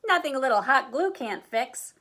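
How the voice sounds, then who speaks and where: A woman plays a bossy storybook lady, older and very pleased with herself. The voice is high and swoops about as she gushes in a hoity-toity, exclaiming manner. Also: animated, normal speed, bright, forceful tone, full character voice. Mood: pleased, fussy. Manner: hoity-toity, exclaiming, breezily self-congratulatory.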